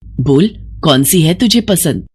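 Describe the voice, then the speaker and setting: A woman voicing a doting middle-aged village mother who scolds fondly and questions excitably in a moral story. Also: fairly low pitch, slow, husky, full character voice. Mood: eager. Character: doting, fussing village mother, eager for son's wedding.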